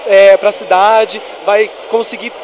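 A man's voice: sits high, little pitch movement, slow pace. A young man, working as an event reporter with a handheld mic and interviewing families in a crowded festival hall. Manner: upbeat, raises his voice over the noise. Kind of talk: on-the-spot interviews.

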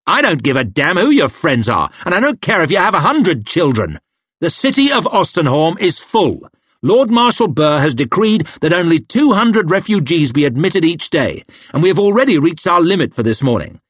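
Arrogant young officer, high sneering tone , voiced by a male narrator. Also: very animated, normal speed, a bit bright, breathy edge, dry recording, full character voice. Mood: angry. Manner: haughty, sneering, snapping.

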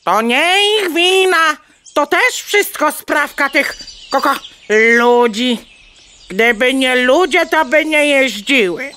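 A woman's voice: sits high, lively intonation, slow, bright, forceful tone, a little hiss or hum, full character voice. A woman playing a curious, plucky, naive hen in a children's audio play, exclaiming and stammering into clucks. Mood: indignant.

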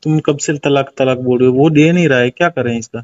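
A man's voice: mid-range voice, a little fast, a little mellow. A man chatting casually in a private phone conversation, heard on a muffled lo-fi call recording.

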